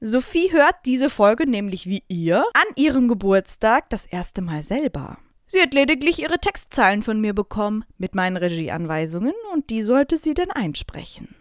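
A woman's voice: on the high side, sing-song, normal speed, no room sound, clean studio sound. A woman hosting a podcast with a casual, chatty and playful manner. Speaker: podcast host.

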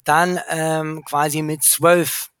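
Man, 40s, grew up in England, podcast guest, rambling, self-correcting, quick bursts, slightly high voice, dry sound. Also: flat delivery, a bit bright, smooth, no room sound. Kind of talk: casual conversation.

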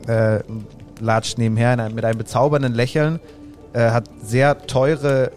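A man running a tabletop role-playing game as game master, describing scenes in a relaxed way with many fillers. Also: mid-range voice, moderate pace, faint music behind.

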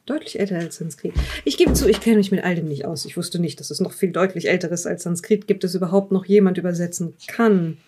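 A woman playing a curious, measured interviewer who examines a dead man's journal in an improvised audio drama. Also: fairly low pitch, noisy background, lightly acted. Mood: intrigued.

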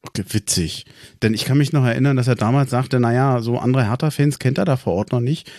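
A man's voice: mid-range voice, quick pace, darkish tone, no room sound, clean studio sound. Chatty man hosting a fan podcast. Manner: chatty, repeats words when starting sentences. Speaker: podcast host. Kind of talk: casual fan podcast chat.

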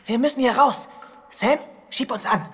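A woman voicing a plucky, excitable young toy hero in a children's radio play, with bold, cheeky, urgent exclamations. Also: on the high side, normal speed, husky.

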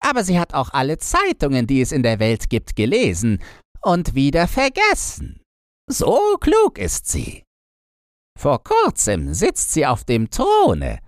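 A man voicing a talkative, earnest crow in a fairy-tale audiobook, telling gossip with drawn-out, deliberate words. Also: on the high side, wildly swooping pitch, dry recording, clean studio sound. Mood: gossipy, confiding. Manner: deliberate, drawn-out, storytelling delivery.

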